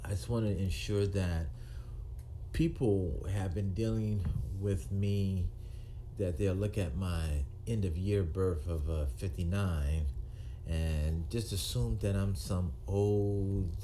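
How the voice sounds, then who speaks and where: Slow, deep-voiced man in his sixties. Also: mellow, slight background noise. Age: 60s. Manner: halting, with frequent ums and restarts. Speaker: retired police officer turned video maker. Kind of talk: unscripted monologue to camera.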